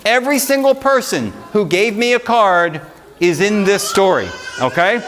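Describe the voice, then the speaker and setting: Man, storyteller reading live, very high voice, lively swooping intonation, theatrical, faint background noise. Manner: animated, theatrical delivery. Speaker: librarian storyteller. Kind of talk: live storytime read-aloud of a comic rhyming poem.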